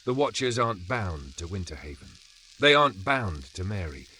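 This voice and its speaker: A man narrating a scripted first-person horror story in a dramatic, measured way with pauses. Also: sits low, sing-song, pushed and bright, a little hiss or hum. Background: background music.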